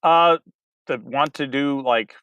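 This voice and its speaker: A man's voice: medium pitch, slow pace, a bit bright, smooth, no room sound, dull audio. A man, a community theater director, chatting casually as an interview guest, rambling a bit and laughing easily.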